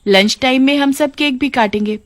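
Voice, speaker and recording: A bossy, mean little schoolgirl from a cartoon story, as a woman puts her on, her voice raised well above the narration. She talks brightly and orders the others around. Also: high voice, normal speed, dry recording, pitched above the performer's own voice, lightly acted. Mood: cheerful. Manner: bossy and cheerful. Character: proud, mean young schoolgirl.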